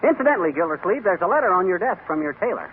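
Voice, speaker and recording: A man's voice: high voice, very animated, rapid-fire. A man playing a brash, nosy husband with folksy, blunt wisecracks in a 1930s radio comedy. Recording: tinny, noisy 1930s radio recording.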